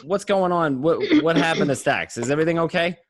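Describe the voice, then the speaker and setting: A man's voice: fairly high pitch, brisk, close to an everyday voice. A man playing an anxious, insistent mobster in an amateur read of a film script, urgent and hesitant. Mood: worried, pressing. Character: anxious, insistent mobster Henry seeking answers.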